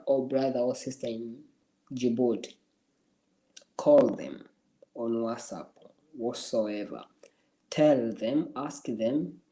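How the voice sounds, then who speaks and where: Man, livestream host, mellow warm tone, encouraging, repeats himself a lot. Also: mid-range voice, poor audio. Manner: encouraging, repeats and restarts phrases. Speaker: livestream host answering viewers. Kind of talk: casual livestream advice.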